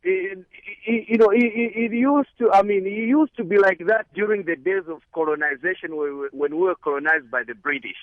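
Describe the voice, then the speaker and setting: A man's voice: high voice, a little fast, hardly any pauses, no echo. A man from Zambia calling in to a radio show, speaking earnestly and hesitantly. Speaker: caller. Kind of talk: radio call-in. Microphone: phone line. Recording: muffled phone line.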